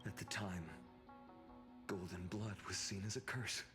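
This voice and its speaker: Male fantasy game role: sober, reflective chronicler, low register, over strong music. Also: measured pace, fairly bright tone. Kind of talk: scripted fantasy game dialogue. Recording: prominent music behind.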